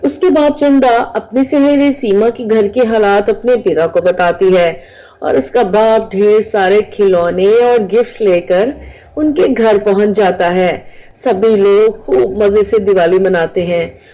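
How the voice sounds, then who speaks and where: A woman's voice: mid-range voice, unhurried, dark tone, poor audio. A woman narrating a scripted moral story as the storyteller.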